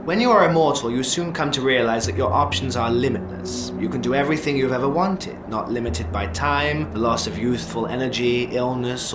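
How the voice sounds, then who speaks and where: An actor playing a suave, sardonic immortal mentor in an audio drama, speaking formally and theatrically with condescending teasing. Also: male voice, mid-range voice, background noise, close to an everyday voice.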